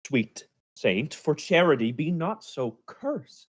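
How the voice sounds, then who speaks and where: A woman's voice: low-pitched, very animated, takes it slowly, breathy edge, full character voice. A young woman playing a cunning, commanding male villain in a Shakespearean radio play, imperious and insinuating. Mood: silky, taunting. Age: young adult. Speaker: acting student.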